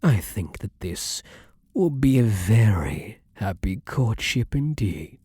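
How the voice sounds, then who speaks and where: A man's voice: fairly low pitch, big pitch swings, takes it slowly, dark tone, slightly rough, no echo, clean studio sound, full character voice. A man playing a vampire king, an ardent, protective lover with courtly, formal phrasing, in an intimate ASMR roleplay. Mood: adoring, tender.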